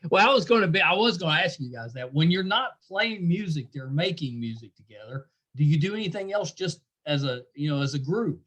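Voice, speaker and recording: A man's voice: a little high, expressive, fairly quick, few pauses, fairly bright tone. A middle-aged man hosting a casual interview, talking in an encouraging, complimentary way. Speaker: podcast host interviewing a band.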